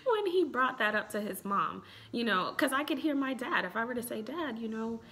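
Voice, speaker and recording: A woman in her thirties with an American accent, talking in a casual, expressive way as a relationship expert giving a review. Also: on the high side, moderate pace. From Chicago, United States. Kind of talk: casual vlog commentary. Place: small room indoors at home.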